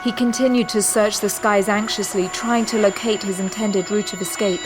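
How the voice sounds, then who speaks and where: A woman narrating a scripted audio drama in a dramatic, measured storytelling manner. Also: mid-range voice, fairly even intonation. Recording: music bed behind narration. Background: music.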